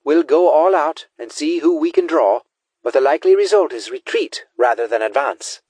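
A man voicing a tough, dutiful female marine in an audiobook, with crisp, dry military delivery. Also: fairly high pitch, expressive, normal speed, a little mellow, dry recording, pitched above the performer's own voice. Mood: defiant, crisp.

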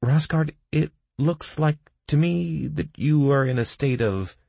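A man's voice: a little high, a little slow, gravelly, a little mellow, dry recording, voice pushed up. A man voicing a fussy, hesitant healer in a fantasy game story. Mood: worried. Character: anxious, matter-of-fact male healer of a gaming party.

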